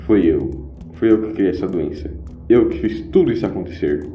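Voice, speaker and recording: A man playing a grandiose renegade celestial being in an audio drama, declaiming with dark, dramatic weight. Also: deep voice, expressive, moderate pace. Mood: menacing. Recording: boxy, echoey lo-fi with music.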